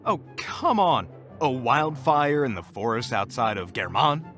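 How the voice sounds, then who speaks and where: An actor playing a vain, easily flustered evil sorcerer in a fantasy audio drama, pompous and grandiose with dramatic pauses. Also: male voice, mid-range voice, big pitch swings, a bit bright, soft background music. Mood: flustered, indignant. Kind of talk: fantasy audio roleplay acting.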